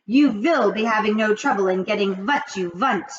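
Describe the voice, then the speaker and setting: A woman voicing the Grand High Witch, an imperious, shrieking female witch leader who drags out her commands. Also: roomy sound, close to an everyday voice. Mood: commanding. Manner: slow, drawn-out, bellowing commands. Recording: dull lo-fi recording.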